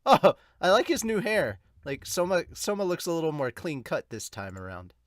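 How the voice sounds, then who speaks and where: A man chats informally as a manga podcast host. His pitch is a little high and very animated, and he breaks off his own sentences. The sound is dry and close. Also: normal speed, no room sound. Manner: informal, self-interrupting. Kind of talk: casual reaction chat.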